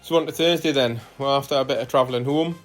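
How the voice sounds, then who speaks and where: A man narrating footage of his own rides as a cycling vlogger, relaxed and hesitant, with frequent fillers. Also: medium pitch, quick pace, soft background music. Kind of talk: casual voice-over narration of ride footage.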